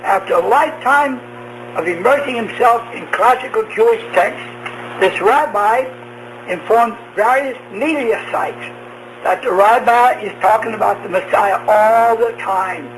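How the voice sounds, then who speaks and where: A man preaching a radio sermon in slow, halting phrases, posing rhetorical questions. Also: high-pitched. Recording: noisy humming shortwave radio broadcast.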